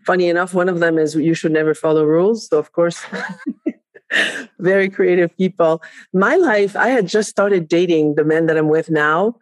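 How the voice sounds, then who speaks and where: A middle-aged woman with a French accent, chatting lively as a podcast guest and laughing often. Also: on the low side, moderate pace, dry recording. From France, raised in Spain. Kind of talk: casual interview chat.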